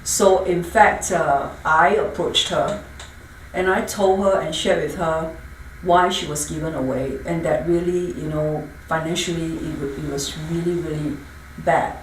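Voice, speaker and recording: A woman's voice: deep voice, steady tone, a little slow, slight background noise. An older woman from Singapore telling her life story in an earnest, storytelling way as an interview guest, recorded from a distance in a roomy space. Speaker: business owner guest.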